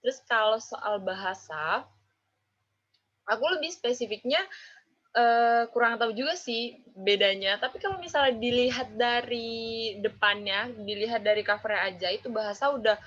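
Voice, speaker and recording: A woman's voice: fairly high pitch, fairly bright tone. A young woman in her twenties with a Javanese accent, chatting casually as an interviewee and tagging phrases with filler words. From Java, Indonesia. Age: 20s. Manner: chatty, drawn-out, ends phrases with "gitu". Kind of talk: casual chat. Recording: dull lo-fi recording.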